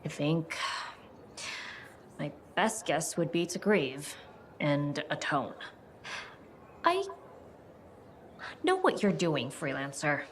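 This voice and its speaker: An actress playing a reserved, apologetic woman in a video game, speaking in a measured, hesitant and subdued way. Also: deep voice, very animated, a little hiss or hum, exaggerated voice. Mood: regretful. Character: reserved, apologetic woman who avoids talking about feelings. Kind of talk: video game dialogue, quiet conversation.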